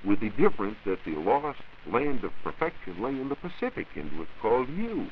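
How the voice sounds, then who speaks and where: An American man giving a formal, measured science talk as a reporter in a scripted 1940s radio broadcast. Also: mid-range voice, big pitch swings. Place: radio studio. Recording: dull, hissy 1940s radio recording.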